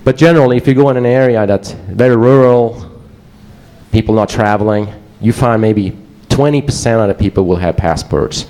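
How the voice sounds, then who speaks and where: A man's voice: fairly low pitch, moderate pace, warm tone, rough recording. A man with a Swedish accent giving a casual, joking conference presentation to a live audience. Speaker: conference speaker.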